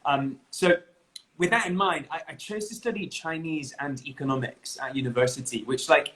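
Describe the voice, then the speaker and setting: Bright-voiced chatty English man. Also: a little high, moderate pace, low-quality recording. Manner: chatty, laughs often, restarts a lot. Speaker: interview guest, bagpipe player. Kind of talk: casual chat.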